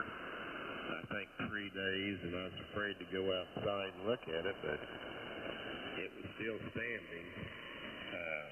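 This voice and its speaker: An older man chatting casually over amateur radio in a halting, folksy manner. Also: low voice. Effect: radio transmission. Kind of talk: casual two-way radio chat. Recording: noisy narrow-band ham radio transmission.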